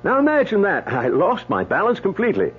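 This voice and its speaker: Chatty, swooping radio-drama doctor. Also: male voice, high voice, wildly swooping pitch, brisk, breathy edge, full character voice. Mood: amazed, chatty. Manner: folksy, exclamatory, storytelling. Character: blunt, chatty male doctor telling a tall tale. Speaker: actor playing a doctor. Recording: dull old radio recording, faint hum.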